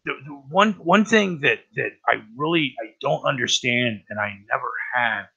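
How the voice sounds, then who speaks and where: Raspy man with a cold, bright pushed tone, rambling profane stream host. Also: medium pitch, lively intonation, moderate pace, highs cut off. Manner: profane and rambling, lots of "right?". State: has a cold. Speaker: livestream host. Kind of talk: rambling casual talk about news.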